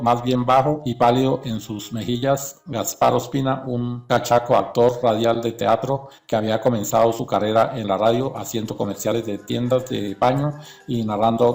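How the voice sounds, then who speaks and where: A man's voice: mid-range voice, gravelly, darkish tone. A man reading scripted documentary narration.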